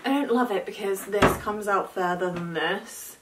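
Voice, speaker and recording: A woman's voice: mid-range voice, normal speed, noisy background. A young woman from England with a head cold, chatting casually and bubbly to a handheld camera in her car and bedroom. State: congested with a cold. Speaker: vlogger. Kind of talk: casual vlog chat. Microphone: camera held at arm's length.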